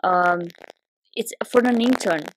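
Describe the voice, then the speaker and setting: A young Brazilian woman, a native Portuguese speaker with a Brazilian accent, chatting as a podcast guest and pausing often with ums and restarts. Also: mid-range voice, dry recording, poor audio. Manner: hesitant, many ums and restarts. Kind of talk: casual interview chat.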